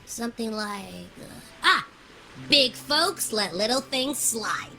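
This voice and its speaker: High, breezy, playful young adventurer. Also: female voice, animated, slow, sharp tone, a little hiss or hum, full character voice, poor audio. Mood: breezy, teasing. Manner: breezy, teasing, exclaiming. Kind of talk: animated video game voice acting.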